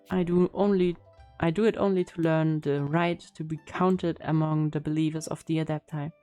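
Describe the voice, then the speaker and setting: Woman gaming streamer, mellow tone, slightly low pitch, casual, relaxed, faint music behind. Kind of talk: casual game reaction and reading game text aloud. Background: faint game music.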